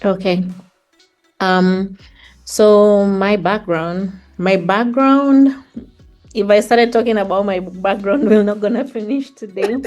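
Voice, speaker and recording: A woman's voice: medium pitch, slow, a little mellow, faint music behind. A middle-aged woman with a Kenyan accent, reflecting on her life as an interview guest. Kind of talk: reflective personal storytelling in conversation.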